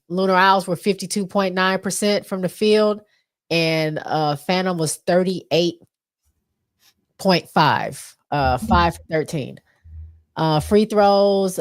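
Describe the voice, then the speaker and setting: American woman with a stuffed-up nose, kinda low voice, rattling off stats with lots of ums. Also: lively intonation, a little slow. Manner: reads stats with many fillers. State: stuffy from allergies. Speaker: podcast co-host. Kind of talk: casual sports recap.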